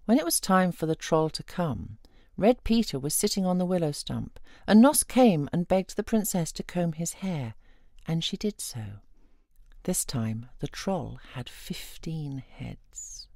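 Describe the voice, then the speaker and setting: A woman tells a folk tale in a fairly low voice that swings with lively intonation. She reads as a storyteller, and the recording is dry and close. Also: measured pace, no room sound. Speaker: storyteller reading a fairy tale. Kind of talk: expressive scripted storytelling.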